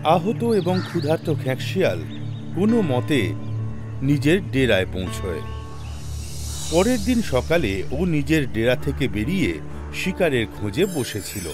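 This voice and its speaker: A man narrating a scripted children's story in an expressive, dramatic storytelling manner. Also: mid-range voice, unhurried, pauses often. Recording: loud music behind narration. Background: music.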